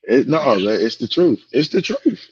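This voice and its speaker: A man's voice: medium pitch, big pitch swings, measured pace, a little mellow, husky, lo-fi sound. A man co-hosting a sports talk show, losing his voice, emphatic and repeating his points as he gives score updates.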